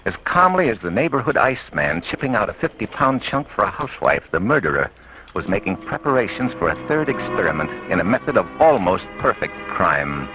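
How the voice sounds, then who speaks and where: An actor playing a calm, shrewd blind police captain who questions suspects and narrates in a measured, dry way, in 1940s radio acting style. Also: male voice, lowish voice, soft background music, low-quality recording. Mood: narrating. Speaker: detective narrator.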